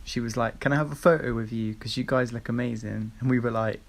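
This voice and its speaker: A man's voice: medium pitch, quick pace, gravelly. A man with an English accent hosting a casual video-call chat, telling stories loosely with lots of fillers. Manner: relaxed, chatty, lots of fillers. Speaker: show host and singer.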